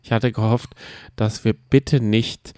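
A man's voice: lowish voice, flat delivery, takes it slowly, warm tone, dry recording, clean studio sound. A man, chatting casually as a podcast co-host about reality TV.

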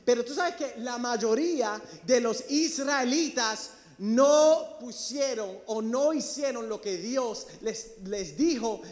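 High-voiced man preaching in echoing hall. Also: slow pace. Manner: emphatic, calls out to the congregation. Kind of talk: sermon. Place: church sanctuary. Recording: long reverb of a large hall.

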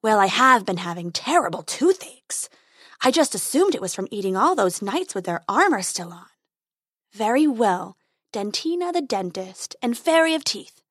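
Woman doing a grand storybook dragon: slow, booming, drawn-out and lofty. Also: sing-song, dry recording, studio quality. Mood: brooding. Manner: booming, drawn-out, talks of eating people. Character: menacing grand male dragon, huge and slow.

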